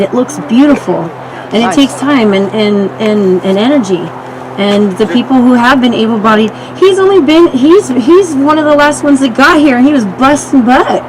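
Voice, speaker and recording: A woman at an outdoor community meeting, making her case earnestly. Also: a little high. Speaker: resident. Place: outdoors by a roadside. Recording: outdoor noise.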